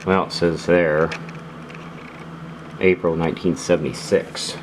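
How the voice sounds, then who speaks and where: A man casually demonstrating gear as a video host, explaining things in a halting, unhurried way. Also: sits low, flat delivery. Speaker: host of a radio gear channel. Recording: clearly noisy background.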